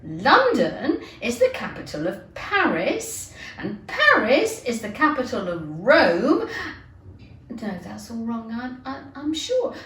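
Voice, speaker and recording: A woman's voice: fairly high pitch, wildly swooping pitch, slow pace, a bit bright, reverberant, far from the mic, exaggerated voice. A woman reading a storybook as a tearful, fretful little girl who talks to herself in a plaintive, dramatic way. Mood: confused, anxious.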